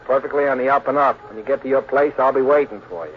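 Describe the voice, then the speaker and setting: Man acting a fast-talking slick gangster gambler, tinny. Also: mid-range voice, lightly acted. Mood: smooth, persuasive. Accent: American. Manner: slangy, smooth-talking, bossy. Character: slick menacing male big-time gambler and swindler. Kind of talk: 1940s radio crime drama acting. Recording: tinny noisy old radio broadcast.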